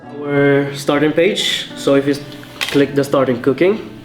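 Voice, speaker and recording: A man's voice: a little high, soft background music. Young man demoing an app, echoey. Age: 20s. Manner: plain, halting explanation. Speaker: student presenter. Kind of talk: app demo walkthrough.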